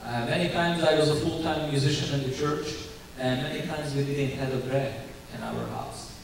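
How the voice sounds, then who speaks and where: A young man with a Ukrainian accent giving his church testimony in earnest, halting English full of restarts. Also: medium pitch, very animated. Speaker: guest speaker giving testimony. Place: church sanctuary. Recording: very echoey church hall, distant mic, faint hiss.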